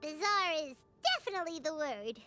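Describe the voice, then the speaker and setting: A woman voicing a tiny, chirpy, childlike fairy companion in a video game, squeaky, excitable and nosy, exclaiming and speaking of herself by name. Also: fairly quick, faint music behind. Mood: chirpy, curious.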